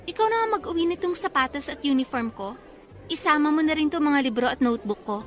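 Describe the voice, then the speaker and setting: Bossy, high-pitched Filipina big sister. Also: female voice, expressive, quick pace. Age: young adult. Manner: curt, demanding. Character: bossy, curt older sister, a student. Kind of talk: Tagalog radio drama acting. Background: background music.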